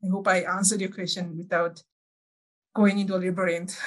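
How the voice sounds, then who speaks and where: A woman from Sri Lanka with a Sri Lankan accent, speaking English as a second language, giving reflective, personal interview answers and often pausing to correct herself. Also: lowish voice, flat delivery. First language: not English (English is second language). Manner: halting, searching for words, self-correcting. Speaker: interview guest.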